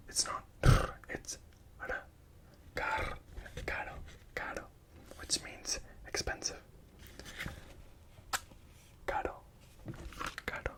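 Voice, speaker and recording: A speaker whispering a gentle ASMR-style language lesson, patiently repeating each letter and phrase and offering encouragement. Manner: whispering softly, repeating each sound slowly. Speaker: Spanish teacher.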